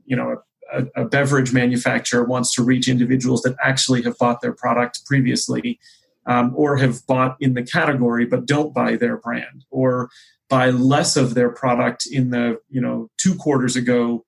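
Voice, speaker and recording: A man talks through business points conversationally, in a measured way, often pausing mid-phrase to restate himself. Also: mid-range voice, vocal fry, breathy edge, low-quality recording. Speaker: company executive, interview guest. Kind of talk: conversational business discussion, explanatory.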